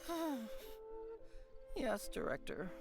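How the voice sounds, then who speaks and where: Hesitant high-voiced underling. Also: female voice, wildly swooping pitch, slow, creaky, soft background music, heavily acted. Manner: hesitant, deferential. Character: hesitant, meek funeral parlor subordinate.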